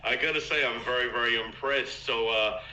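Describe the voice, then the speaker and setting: Man, British accent, bright tone, laughing, self-deprecating, echoey video call. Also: medium pitch, brisk, a little hiss or hum. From London, England. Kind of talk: casual chat.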